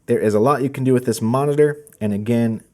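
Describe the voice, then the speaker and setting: A man's voice: mid-range voice, fast talker, warm tone. A man hosting a product video, walking through gear in a casual presenting style. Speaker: host of a product channel.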